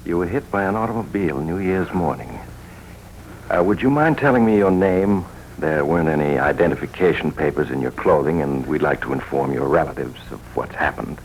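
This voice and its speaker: A hospital doctor in an old radio drama. His voice is low and measured, with a soothing professional manner. A faint hiss runs under the recording. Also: expressive, low-quality recording. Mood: calm, reassuring. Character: calm, reassuring male hospital doctor. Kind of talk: 1940s radio drama acting.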